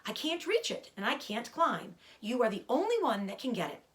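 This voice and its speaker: A woman's voice: on the high side, very animated, moderate pace, a bit bright, voice pushed up. A woman voicing an anxious young male pig from a storybook, earnest, urgent and pleading.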